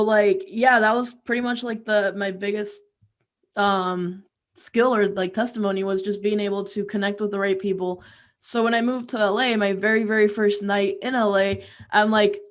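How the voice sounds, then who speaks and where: Young woman, chatty interview guest telling her story casually, average pitch. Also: little pitch movement, normal speed. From Peru and Florida. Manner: chatty, informal, frequent "like". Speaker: interview guest, music career coach.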